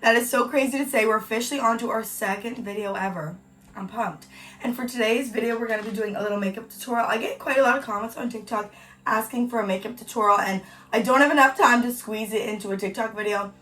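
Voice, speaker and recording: A young woman from Canada chatting in a casual home vlog, bubbly and full of "like" and "you guys", her voice hoarse from a cold. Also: fairly high pitch, fast, fairly bright tone, far from the mic. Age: young adult. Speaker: beauty vlogger. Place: at home on a hot day.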